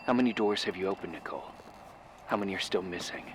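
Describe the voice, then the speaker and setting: A man's voice: deep voice, fast talker, background noise, lightly acted. An actor playing a guarded, cryptic man on a call in a naturalistic video game drama, restrained and questioning. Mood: cryptic, insistent. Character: guarded, cryptic man calling over a radio phone.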